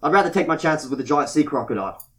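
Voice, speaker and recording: Sarcastic, exasperated diver played by a male actor, rapid delivery, audio drama, anxious to escape. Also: fairly high pitch, lively intonation, a bit bright. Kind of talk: amateur audio drama acting.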